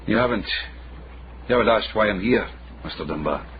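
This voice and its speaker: An actor playing a shrewd, low-born middle-aged Scottish investigator in a radio drama, dry, teasing and guarded. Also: male voice. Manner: dry, sardonic, laconic. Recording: dull, noisy radio drama recording.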